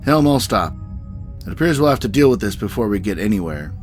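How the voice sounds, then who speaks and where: A man playing a composed, diplomatic starship captain in a science-fiction audio drama, crisp and commanding. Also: fairly low pitch, very animated, brisk, soft background music. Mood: firm.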